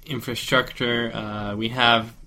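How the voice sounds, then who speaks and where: A man's voice: mid-range voice, flat delivery, slow, pushed and bright. A man taking part in an informal discussion, speaking hesitantly and restarting his phrases. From Norway. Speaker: discussion participant. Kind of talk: informal discussion in a second language.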